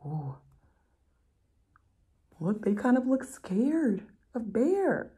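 A woman's voice: on the high side, very animated, extremely slow, mellow, husky. A young adult woman reading a picture book aloud to children, expressively, with chatty explanatory asides. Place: room at home, indoors.

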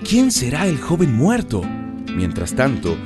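A man's voice: mid-range voice, huge pitch swings, unhurried, music in the background. A man narrating a radio serial in a dramatic, scripted storytelling style. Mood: dramatic, sympathetic.

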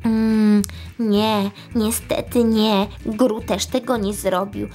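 A woman's voice: medium pitch, flat delivery, slow pace, dark tone, faint music behind, lightly acted. A woman voicing an eager, jumpy female Minion detective with a hesitant, stammering manner in a children's toy story. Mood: curious, helpful. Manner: hesitant, stammering, wide-eyed.

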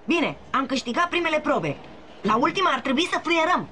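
A spoiled, boastful cartoon boy with a whiny voice. His tone sits high and childlike. Music is clearly audible behind him. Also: very animated. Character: rich bragging boy neighbor. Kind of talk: Romanian cartoon dub.

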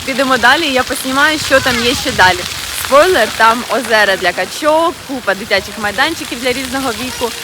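A woman's voice: high voice, brisk, noisy background. A woman narrating a casual family vlog as she walks through an outdoor park, talking to her camera. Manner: chatty, tour-guide narration to camera. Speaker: vlogger, mother.

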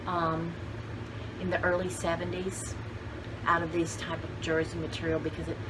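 A woman in her sixties chats casually to camera as a vlog host, rambling and enthusiastic, with exclamations. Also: on the low side. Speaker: vlog host showing thrift haul finds. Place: cluttered home craft room, indoors. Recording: clearly noisy home video background.

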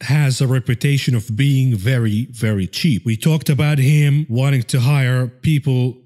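A man with a relaxed, mellow voice at a medium pitch comments on market news as a show host. His delivery is informal and dryly sardonic, with a tongue-in-cheek edge. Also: a little slow.

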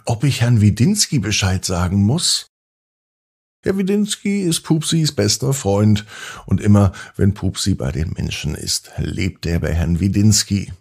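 A man hosting a children's podcast, narrating expressively and gently with drawn-out emphasis. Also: fairly low pitch, animated, gravelly, husky. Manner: gentle, drawn-out emphasis, addressing young listeners. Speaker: children's podcast host and storyteller.

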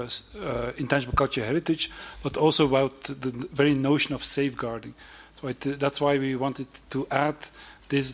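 A male delegate explaining an amendment at a formal meeting, with hesitations and restarts. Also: medium pitch. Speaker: country delegate. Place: large conference hall.

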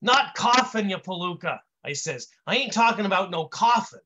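A man's voice: high-pitched, lively intonation, normal speed, sharp tone, dull audio, pitched above the performer's own voice, exaggerated voice. A man voicing a short-tempered wise-guy comedian with old-time tough-guy slang and grammar, in a story read aloud. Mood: exasperated.

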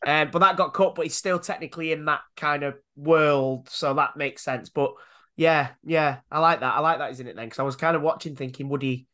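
A man's voice: fairly high pitch, fairly even intonation, brisk, pushed and bright. A man co-hosting a podcast, talking in a rambling, filler-heavy way. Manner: rambling, lots of fillers, laughs easily. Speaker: podcast co-host. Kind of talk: casual chat over video call. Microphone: webcam mic on a video call.

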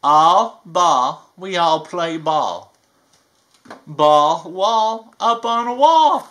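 A performer voicing a playful, folksy bayou alligator with a Southern US accent, reading a children's picture book aloud with drawn-out emphasis. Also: mid-range voice, animated, fairly bright tone, a little hiss or hum.

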